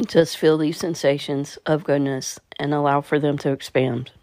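A woman leading a guided meditation in a calm, measured, soothing manner. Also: deep voice, no echo.

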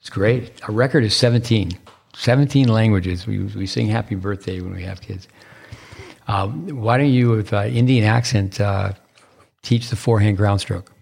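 A man's voice: fairly low pitch, normal speed, vocal fry, darkish tone, husky. A man hosting a tennis podcast, rambling in a casual way with many stumbling restarts and repeated words. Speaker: podcast host and tennis coach. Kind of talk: casual interview chat.

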